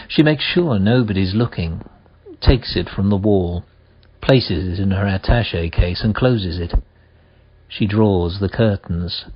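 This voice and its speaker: A man narrates a radio play in a deep, husky voice. He reads scripted stage directions in the present tense. The recording is slightly muffled.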